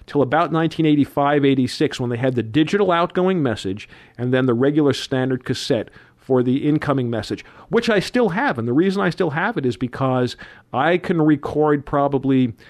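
A man's voice: mid-range voice, lively intonation, brisk. A man in his fifties co-hosting a radio talk show, reminiscing and laying out dates and figures in a lecturing way. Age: 50s.

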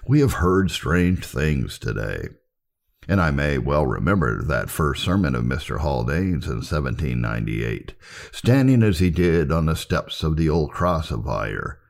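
A man with an extremely deep, mellow voice narrates history from a script. His tone has a gravelly creak at the edges. He reads in a formal, measured audiobook manner. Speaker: reader of historical readings.

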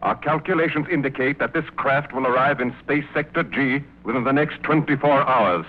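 Through a tinny vintage radio broadcast comes a stern space commander, played by a male actor. He gives orders in a clipped, official, mid-pitched voice with 1950s science fiction gravity. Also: normal speed, lightly acted. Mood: stern, urgent. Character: brisk military space commander giving defense orders. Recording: tinny, narrow-band old radio recording.

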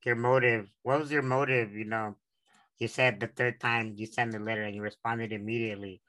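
A man's voice: medium pitch, steady tone, brisk, pushed and bright. An eighteen-year-old man from San Diego hosting an interview, reading the introduction earnestly with restarts. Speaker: podcast host.

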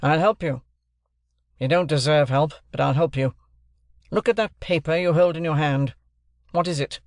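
A man voicing a bullying, sarcastic gentleman who cross-examines in a hectoring, authoritative manner for an audiobook. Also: on the high side, normal speed, no echo, studio quality, natural voice. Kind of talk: classic novel narration, character voice.

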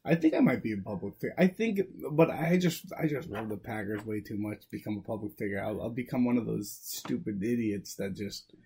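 A man in his twenties co-hosting a podcast, rowdy and excitable, swearing freely about football. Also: lowish voice, brisk, hardly any pauses, husky. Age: 20s. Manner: rowdy, profane, excitable sports fan. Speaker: podcast co-host. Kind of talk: casual podcast chat.

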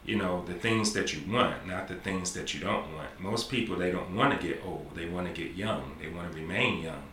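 A forties man with a very low but bright, forceful voice hosts a podcast in a chatty, affirming way. His voice rings in a roomy space with faint background noise. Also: moderate pace. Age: 40s. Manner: repetitive, affirming, talkative. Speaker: podcast host. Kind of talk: casual interview.

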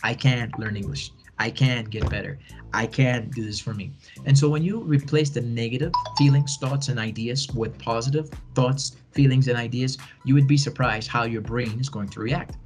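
A man's voice: medium pitch, moderate pace, music in the background. Podcast guest, male, 40s, earnest and encouraging, repeats key phrases. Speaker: podcast guest, bilingual educator. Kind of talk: conversational interview answers.

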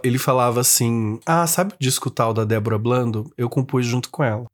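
A man with a Brazilian accent co-hosting a casual chat, teasing and joking with loud exclamations while guessing songs. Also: medium pitch, brisk.

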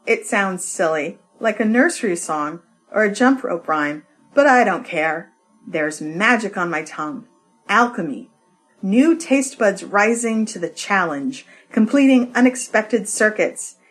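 A woman reads poetry expressively at a slow, deliberate pace, her voice of average pitch. Music plays softly behind her as she delivers each line. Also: low-quality recording. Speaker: poetry reader. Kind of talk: expressive literary reading.

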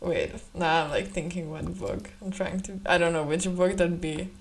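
A woman's voice: fairly low pitch, normal speed. A young woman co-hosting a podcast in casual chat, giggling often and teasing her co-host.

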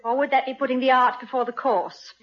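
An actress playing a playful, affectionate, scatty college president's wife in 1950s radio comedy style, with bubbly, flustered chatter. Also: female voice, high voice, fairly even intonation, a little fast. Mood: excited, flustered. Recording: dull vintage radio, audience murmur behind.

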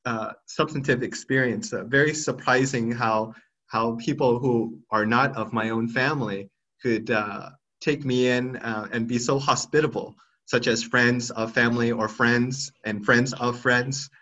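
Man, 30s, thoughtful podcast guest, halting restarts, medium pitch, muffled audio. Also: steady tone, pushed and bright. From Thailand. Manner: thoughtful, hesitant, many restarts and fillers. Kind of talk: reflective interview answers.

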